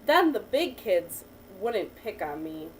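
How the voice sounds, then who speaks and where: A woman teacher reading a picture book aloud to a child, pausing to ask questions and praise the answers. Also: mid-range voice, very animated. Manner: patient, encouraging, asks and praises. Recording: distant mic with faint background noise.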